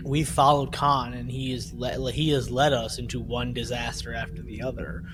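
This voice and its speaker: A man's voice: medium pitch, little pitch movement, moderate pace, background noise. A man co-hosting a podcast in casual discussion, retelling scenes and often checking his points with "right?". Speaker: podcast co-host. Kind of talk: casual discussion recapping an audio drama.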